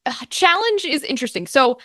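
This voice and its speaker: Female interview guest, slightly high voice, casual and expressive, performer's flair, very dry sound. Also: brisk, dry recording. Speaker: guest, actor and game master.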